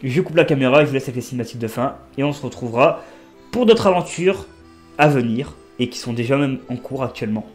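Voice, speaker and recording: A man with a blocked nose doing casual, excitable let's play commentary as a gaming creator. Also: fast talker, faint music behind. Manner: excitable, pitch jumping up on exclamations.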